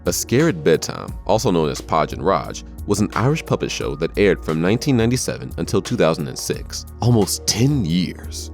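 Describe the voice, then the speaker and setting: Very deep-voiced male narrator with lively intonation. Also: normal speed, hardly any pauses, soft background music. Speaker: narrator of a countdown video. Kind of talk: scripted narration.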